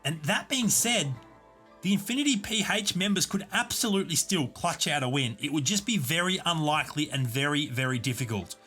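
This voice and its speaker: Bright-voiced male game caster. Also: a little high, fairly quick, soft background music. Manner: steady, explanatory play-by-play. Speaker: esports caster and streamer. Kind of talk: live game commentary. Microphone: desk USB condenser mic.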